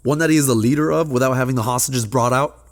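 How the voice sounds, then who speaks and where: An actor playing a forceful, incredulous FBI agent taking command at a tense scene. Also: male voice, medium pitch, fast talker, natural voice. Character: angry, determined male FBI agent. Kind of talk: web series drama acting.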